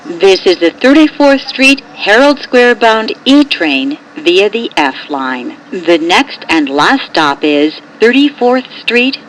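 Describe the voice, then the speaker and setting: An American woman reading scripted, automated train announcements in a formal, measured manner, with clearly segmented phrases. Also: mid-range voice, big pitch swings. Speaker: recorded subway train announcer. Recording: noisy capture from train loudspeaker. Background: subway train ambience.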